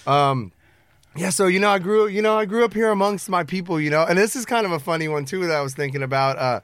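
A young man born in the former Soviet Union, with Russian as his first language, telling a story as a podcast guest in a relaxed, profane way full of "you know". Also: sits high, quick pace, clear, resonant tone, dry recording. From Soviet Ukraine. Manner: laid-back, peppers speech with "you know" and profanity. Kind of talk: casual storytelling.

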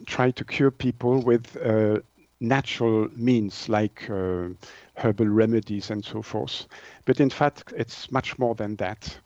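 A man from Switzerland with a French-speaking Swiss accent, explaining haltingly as an interview guest, searching for words. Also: medium pitch, a little mellow, no room sound, highs cut off.

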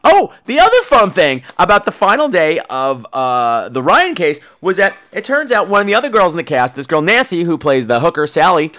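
High-pitched, animated gossipy man. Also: moderate pace, few pauses. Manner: gossipy, catty, profane; animated, dramatic emphasis. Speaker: podcast host. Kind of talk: casual comic storytelling.

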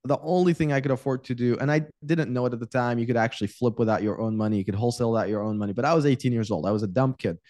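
A man's voice: mid-range voice, very fast, few pauses, a little mellow, no echo. Frank young man, dry close sound. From Iraq. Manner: candid, frank, repeats words while thinking aloud. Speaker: podcast guest, real estate investor. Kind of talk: casual interview storytelling.

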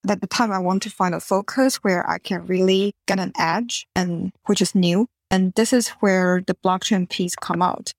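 A woman, answering interview questions in an earnest, explanatory way with slightly halting phrasing over a remote call. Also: medium pitch, fairly even intonation, no room sound, clean studio sound. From Taiwan. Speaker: podcast guest.

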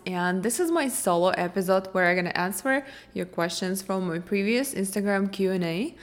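A woman from Ukraine, whose first language is Russian, speaking in a Russian accent as she hosts a casual solo question-and-answer podcast in a chatty, upbeat way. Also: mid-range voice. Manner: chatty, upbeat, simple sentences. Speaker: podcast host.

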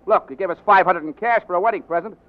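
An actor playing a cocky, wisecracking newspaper reporter in a 1940s radio drama, with brisk slangy delivery. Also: male voice, high-pitched, close to an everyday voice. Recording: dull 1940s radio broadcast recording.

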